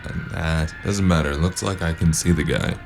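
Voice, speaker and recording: Deep-voiced male detective, acted by a man, weary, sardonic, unhurried, noir radio play, music underneath. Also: vocal fry. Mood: dry, businesslike. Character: weary, sardonic male noir investigator shaking down street dealers. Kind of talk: noir audio drama acting. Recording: music behind the voice.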